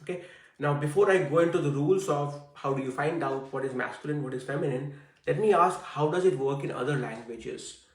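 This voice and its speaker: Man, higher pitch, conversational teaching, lots of "you know", echoey, distant. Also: fairly quick. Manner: conversational, frequent fillers and "I see". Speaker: Hindi teacher. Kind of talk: online class teaching.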